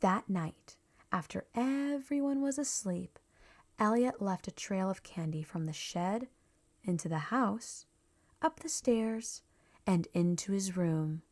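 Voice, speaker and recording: A woman reading a children's storybook aloud in a measured, expressive storytelling manner. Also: mid-range voice. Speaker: storybook reader. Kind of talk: scripted children's story narration.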